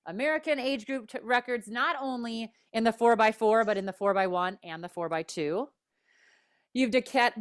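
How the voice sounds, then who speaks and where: Upbeat host, woman with a Minnesota accent, slightly high voice, video call. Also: smooth. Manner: upbeat, enthusiastic, quick restarts. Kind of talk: panel interview hosting.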